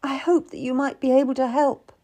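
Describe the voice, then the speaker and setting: A woman's voice: sits high, big pitch swings, moderate pace, mellow, husky, voice pushed up, heavily acted. A woman voicing an earnest Tudor servant woman, urgent and beseeching, in a dramatised audiobook. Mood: tearful, desperate.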